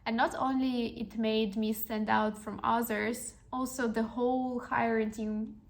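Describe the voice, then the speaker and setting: A woman's voice: fairly high pitch, flat delivery, a little slow, creaky. A woman in her twenties, a podcast host telling a personal story in an earnest, encouraging way with frequent restarts. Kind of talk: reflective personal storytelling monologue.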